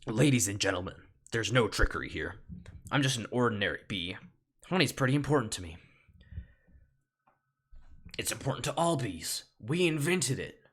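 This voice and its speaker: A man's voice: mid-range voice, expressive, normal speed, pauses often, fairly bright tone, breathy edge, pitched above the performer's own voice. A man voicing an earnest, indignant little honeybee pleading in court, in an amateur solo script reading. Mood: earnest, impassioned.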